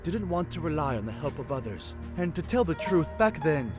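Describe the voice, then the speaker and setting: A man voicing a tall, excitable young fish-folk prince who tells tales in a grand, theatrical way, in a fan audio drama. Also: a little high, moderate pace. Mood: dramatic storytelling. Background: music.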